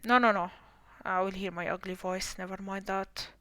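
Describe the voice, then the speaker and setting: A woman's voice: mid-range voice, steady tone, normal speed, fairly bright tone, no echo. A young woman streamer chatting casually and rambling, with self-deprecating asides, while playing a game. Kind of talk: casual live-stream commentary.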